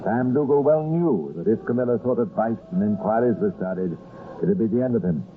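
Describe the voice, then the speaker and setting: A man narrating an old radio crime drama in a measured, wry and ominous manner. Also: on the low side, animated. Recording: tinny, noisy old radio recording.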